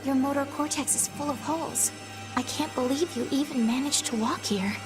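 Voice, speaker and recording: A kindly female android repairer from a sci-fi game, acted by a woman. She sounds concerned and reassuring, with a slightly high voice. Music plays clearly behind her. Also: moderate pace, natural voice. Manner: soft-hearted, reassuring. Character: caring female android mechanic, gently concerned. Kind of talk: dramatic game acting.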